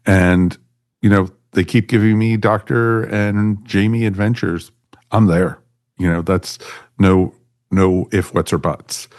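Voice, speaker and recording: A man's voice: low-pitched, fairly even intonation, takes it slowly, a little mellow. A man hosting a podcast in casual, chummy chat, addressing everyone like friends. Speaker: podcast host.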